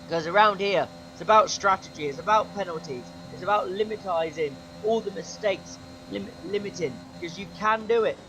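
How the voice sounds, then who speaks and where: A young man commentating his own sim race, his voice going hoarse, excitable and shouting at the big moments. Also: high-pitched, fairly quick, hardly any pauses, slight background noise, rough recording. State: losing his voice. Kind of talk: gaming commentary.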